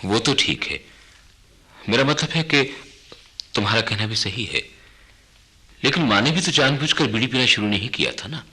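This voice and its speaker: A man playing a worried, dutiful clerk in a radio drama, earnest and respectful, with a husky voice. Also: mid-range voice, expressive, normal speed, pauses often, pushed and bright. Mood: explaining. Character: worried, dutiful clerk son of an ailing mother. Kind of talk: Hindi radio drama acting.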